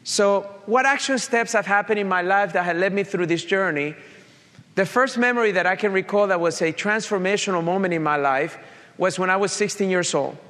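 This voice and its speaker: A man with a Colombian accent and a slight Italian touch speaks earnestly to an audience. He tells a personal story in a fairly high voice. The sound carries a long hall reverb. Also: a little fast, sharp tone. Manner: earnest, direct, addresses audience. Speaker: motivational speaker. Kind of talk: motivational talk with personal story.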